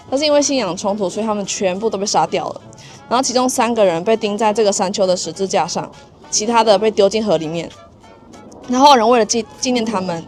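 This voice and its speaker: A woman's voice: medium pitch, a little mellow. A woman giving informative travel narration, explaining local history in an orderly way. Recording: light music under the voice. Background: music.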